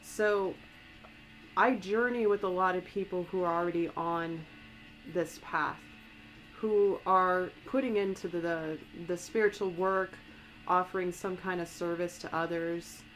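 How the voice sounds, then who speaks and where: An American woman with a slightly raspy voice from a cold, giving a soft, meandering, conversational ASMR-style tarot reading. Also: lowish voice, steady tone, a little slow, faint music behind, poor audio. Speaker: tarot reader and astrologer.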